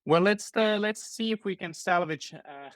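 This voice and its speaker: A man's voice: high voice, normal speed, a bit bright, dry recording, clean studio sound. A man hosting a conversational interview, putting questions in a thoughtful, tentative way. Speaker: podcast host, interviewer.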